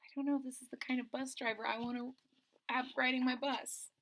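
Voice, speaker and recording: A woman's voice: high-pitched, level tone, fairly quick, fairly bright tone, a little hiss or hum. A middle-aged woman reading a picture book aloud to children, expressively, with explanatory asides and dramatic emphasis. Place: small indoor room at home.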